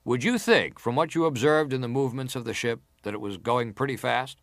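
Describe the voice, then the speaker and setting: A formal, pressing senator played by a man examines a witness in a historical drama. His mid-pitched male voice is deliberate and insistent, recorded dry with no room around it. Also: fairly bright tone, no echo, natural voice. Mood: probing, formal. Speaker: actor reading an examining senator. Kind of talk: dramatised reading of hearing testimony.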